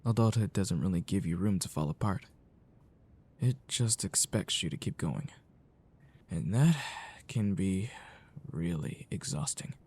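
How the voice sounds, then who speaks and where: A man playing a caring, wistful childhood friend in an ASMR roleplay, talking softly with a halting, reflective delivery. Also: sits low, lively intonation, slightly rough, heavily acted. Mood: weary, wistful. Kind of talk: ASMR roleplay, soft intimate talk.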